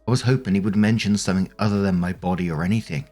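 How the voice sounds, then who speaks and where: A man's voice: deep voice, fairly even intonation, racing, mellow, soft background music. A man narrating online posts, reading steadily and adding brief casual asides. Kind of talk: reading posts aloud with commentary.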